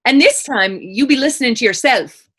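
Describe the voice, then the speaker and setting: Woman acting a high, lilting woman. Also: lively intonation, normal speed, a bit bright, breathy edge, heavily acted. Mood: teasing. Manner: folksy, frank questioning in archaic Irish dialect. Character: plainspoken, teasing, compassionate Irish woman, politically defiant. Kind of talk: readers theater dramatic dialogue.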